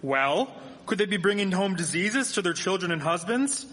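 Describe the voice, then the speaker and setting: An actor playing a cheerful, polite 1870s village gentleman in a live radio drama, with formal old-fashioned diction. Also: sits high, expressive, moderate pace. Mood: earnest. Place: performing arts theatre stage. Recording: echoey hall, live stage performance.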